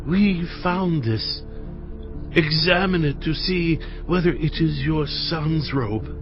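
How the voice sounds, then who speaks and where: An actor playing a jealous, plotting older brother with a mocking, theatrical manner in a dramatized scripture reading. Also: male voice, on the high side, slow pace. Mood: cold deceit. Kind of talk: dramatized scripture audio acting. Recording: dull audio over background music.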